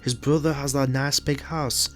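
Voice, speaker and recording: A man playing an earnest, polite detective sidekick in a podcast drama, asking questions in a measured way. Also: mid-range voice, fairly even intonation, soft background music, natural voice. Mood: inquiring. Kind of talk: podcast audio drama acting.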